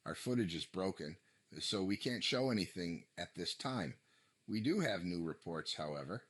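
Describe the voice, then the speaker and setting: A man's voice: low-pitched, fairly bright tone, no room sound. A man playing a male television news reporter delivering a scripted breaking news report. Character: male TV news reporter covering a violent manhunt.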